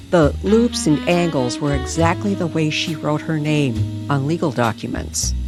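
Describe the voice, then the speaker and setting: A woman narrating a scripted mystery story dramatically, with deliberate, suspenseful phrasing. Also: sits low.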